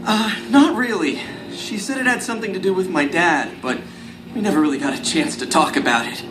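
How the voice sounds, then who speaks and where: A man voices a self-pitying, anxious young everyman in a motion comic. His voice is fairly high, with a nervous, whiny delivery. It has a roomy echo and music behind it. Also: brisk, natural voice. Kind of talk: motion comic voice acting with narration. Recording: roomy sound with music underneath.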